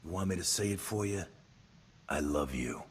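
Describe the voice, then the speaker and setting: Very deep-voiced, cocky bounty hunter. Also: male voice, normal speed, a little hiss or hum. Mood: dry affection. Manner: deadpan, dry, self-assured. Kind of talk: video game voice acting.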